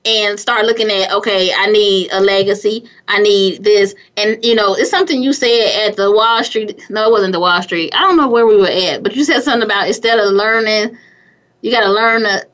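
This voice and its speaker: A woman who grew up in California with a squeaky voice, hosting a casual motivational talk show, chatty and energetic with frequent laughs and restarts. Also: brisk, dull audio.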